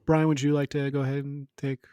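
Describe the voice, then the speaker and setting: A man's voice: mid-range voice, little pitch movement, fast, mellow, smooth, no echo. Dry-humored man on a close mic. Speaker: podcast co-host. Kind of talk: casual chat.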